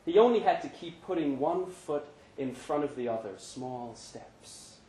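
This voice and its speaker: A man giving a measured, dramatic live reading of a literary short story. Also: on the high side, animated, warm tone. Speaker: story reader. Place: pub event room, live reading. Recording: echoey pub room, noticeable background noise.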